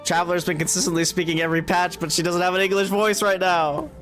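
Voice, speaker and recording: A man's voice: high voice, little pitch movement, fast talker, a bit bright. A man giving casual live reactions while streaming a game, slangy and prone to repeating himself. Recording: game music playing behind voice.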